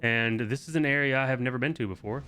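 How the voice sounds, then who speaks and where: A man's voice: mid-range voice, fast talker, sharp tone, a little hiss or hum. A man hosting a video game guide, giving casual, informal walkthrough commentary with the odd swear word.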